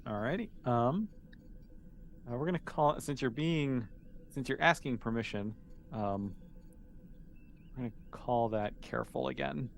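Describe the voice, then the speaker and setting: There is clear noise behind this man's mid-pitched voice. He runs a tabletop role-playing game in a casual, conversational way, making up the narration as he goes and thinking out loud. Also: unhurried, pauses often. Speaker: game master.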